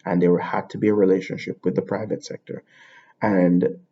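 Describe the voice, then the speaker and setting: Husky, gravelly man, low and warm. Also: level tone, normal speed. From Jamaica. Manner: thinking aloud, circling back and repeating words. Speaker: podcast host. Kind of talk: unscripted explanatory monologue. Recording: dull lo-fi recording.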